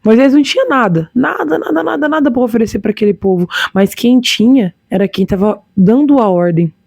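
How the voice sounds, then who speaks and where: High-voiced Brazilian woman preaching. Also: expressive, fairly quick, vocal fry, a little mellow, slightly rough, no echo, dull audio. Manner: exhortative, repeats phrases for emphasis, rhetorical questions. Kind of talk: informal sermon with scripture reading.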